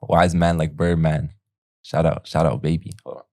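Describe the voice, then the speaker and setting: A man's voice: a bass voice, steady tone, moderate pace, creaky, darkish tone, no room sound. A young man, a barber guest on a podcast, talking laid-back with slang and jokes. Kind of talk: casual chat.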